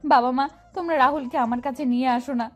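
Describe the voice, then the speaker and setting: A woman's voice: high-pitched, fairly even intonation, fairly quick, soft background music. A woman playing a distraught young wife in an audio story, pleading and apologetic. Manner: pleading, insistent.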